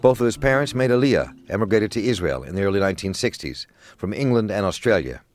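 A male radio interviewer with a low voice who asks careful, slightly halting questions. Faint guitar music plays softly behind him. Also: sing-song. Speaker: interviewer and host.

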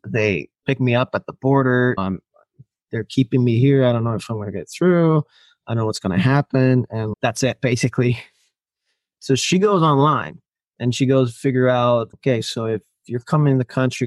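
Finnish man, native Finnish speaker, animated podcast guest, mid-pitched voice, dry recording. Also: moderate pace, dry recording. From Finland. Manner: animated storyteller who acts out quoted lines and laughs often. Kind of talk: casual storytelling interview.

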